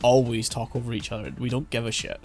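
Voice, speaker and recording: A man talks over faint background music in a mid-pitched voice, meandering as he co-hosts an anime podcast. He circles his points with plenty of fillers and half-finished restarts, recorded at home during an online call. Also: flat delivery, quick pace, darkish tone. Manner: rambling, lots of "like" and "um" fillers. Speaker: podcast co-host. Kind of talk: casual chat about anime.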